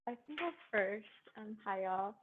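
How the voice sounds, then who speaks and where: A woman's voice: medium pitch, animated, normal speed, narrow, tinny audio. A young woman giving casual information over thin call audio.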